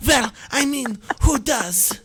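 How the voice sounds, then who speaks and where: A woman's voice: high voice, animated, slow, slightly rough, heavily acted. A woman voicing a shy insecure teen boy. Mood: nervous. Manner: hesitant, self-deprecating. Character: nervous insecure teenage boy.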